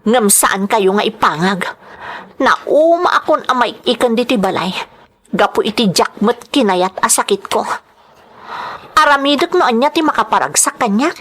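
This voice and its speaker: A woman's voice: fairly high pitch, expressive, moderate pace, a bit bright. A woman playing a defiant, headstrong young woman in an Ilocano audio drama, with an emotive, pleading delivery. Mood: hurt, resentful. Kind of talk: Ilocano audio drama, dialogue and confessional narration.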